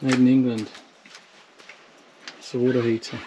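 A man's voice: mid-range voice, level tone, normal speed, a crowd in the background. A man on a walking tour, casually pointing things out in brief remarks. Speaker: companion on the tour. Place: walking outdoors and through open bush shacks. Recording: noisy on-the-move camera audio.

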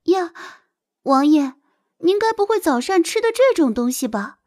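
An actress playing a coquettish, scheming young concubine in an audio drama, with a simpering, wheedling manner. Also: female voice, very high-pitched, very animated, normal speed, mellow. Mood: cloying. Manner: simpering, affected, sweetly wheedling. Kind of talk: Chinese audio drama acting. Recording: music bed behind the voice.

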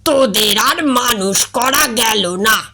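A woman voicing a blustering, bossy grown man who roars at his friends, with a gruff, gravelly voice set back from the mic. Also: on the high side, expressive, takes it slowly, heavy background noise. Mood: scolding. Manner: shouting, bossy. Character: booming loud-voiced man, club secretary, blustering.

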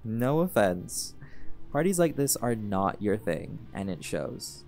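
A man's voice: fairly low pitch, very animated, takes it slowly, a little mellow, exaggerated voice. An actor voicing a laid-back, teasing male jaguar shifter in an audio roleplay, blunt and flirtatious, with music playing behind.

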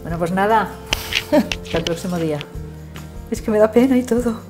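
A young woman, hosting a casual cooking show, asking questions and agreeing along in a home kitchen. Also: mid-range voice. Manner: friendly questions, quick agreeing replies. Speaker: host. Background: background music.

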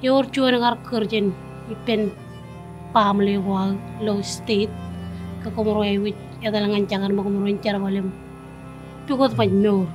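A woman's voice: medium pitch, fairly even intonation, normal speed, pauses often. A young adult woman from the Marshall Islands, a ministry leader, speaking in a documentary interview. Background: music behind the voice.

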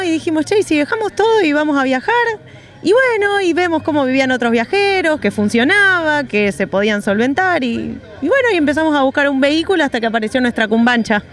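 A young woman from Rosario with an Argentine Spanish accent, chatting cheerfully in a street interview and often filling pauses with "y bueno", recorded outdoors on a handheld mic. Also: high voice. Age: young adult. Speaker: interviewee, traveling artisan. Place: outdoors on a street by parked vans. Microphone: handheld reporter mic.

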